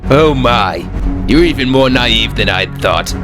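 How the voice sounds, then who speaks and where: A man's voice: mid-range voice, lively intonation, measured pace, music in the background, lightly acted. A man voicing a smug, decadent lord who taunts his enemies in a condescending drawl, in a fan dub. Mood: mocking.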